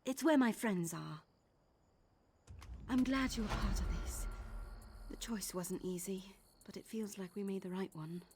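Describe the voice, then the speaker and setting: A woman playing an earnest young thief in a video game, with a heartfelt, expressive delivery. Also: medium pitch, a little slow, long pauses, a little hiss or hum, close to an everyday voice. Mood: reflective. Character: earnest young woman thief seeking her late father's treasure. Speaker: game character. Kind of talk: dramatic game dialogue.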